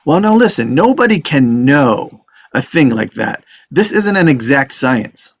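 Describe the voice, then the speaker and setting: A man's voice: medium pitch, very animated, unhurried, breathy edge, exaggerated voice. A man playing a flustered, defensive juror in a script table read.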